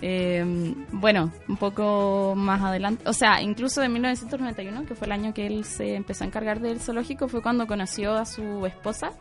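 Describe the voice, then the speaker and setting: A woman's voice: mid-range voice, fairly even intonation, fairly quick, few pauses, soft background music. A woman co-hosting a casual podcast chat, telling a story with many fillers and frequent laughs. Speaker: co-host presenting the segment.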